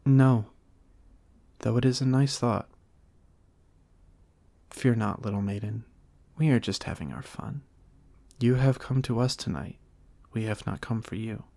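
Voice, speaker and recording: A man voicing a serene, gentle female lamb spirit from a storybook, speaking formally and with calm reassurance. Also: medium pitch, measured pace, vocal fry, pauses often, no room sound. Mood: reassuring. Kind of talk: storybook character reading.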